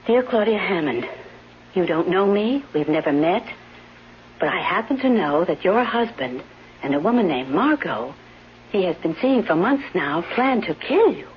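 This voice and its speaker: A woman acting a brisk, protective nurse companion in a 1970s radio drama, reassuring and no-nonsense. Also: lowish voice, very animated. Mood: skeptical. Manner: no-nonsense, dismissive of fears. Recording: dull vintage radio broadcast, faint noise.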